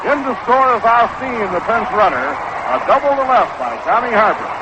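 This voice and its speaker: A man calling baseball play-by-play on 1960s radio, measured and descriptive, on an old broadcast recording. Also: high-pitched, big pitch swings. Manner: measured, descriptive calls that quicken on action. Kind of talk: 1960s live radio sports commentary. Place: outdoor ballpark broadcast position. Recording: noisy, dull vintage 1960s radio broadcast.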